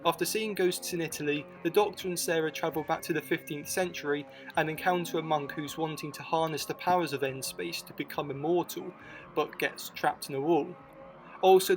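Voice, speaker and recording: A man with a slightly high voice reads scripted documentary narration. He keeps it informal and matter-of-fact, and soft music plays behind him. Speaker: video essay narrator. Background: music bed.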